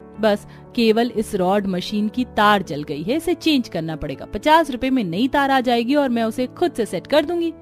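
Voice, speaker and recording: A woman's voice: fairly high pitch, expressive, moderate pace, soft background music, lightly acted. A woman voicing a resourceful, practical young daughter-in-law in a cartoon story. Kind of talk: Hindi cartoon story acting.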